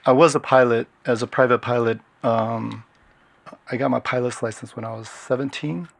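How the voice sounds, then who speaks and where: A middle-aged man from Washington State speaking as an interview guest, telling his story in a relaxed, hesitant way with many fillers. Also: mid-range voice, normal speed, gravelly. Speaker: guest, security consultant. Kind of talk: casual interview talk.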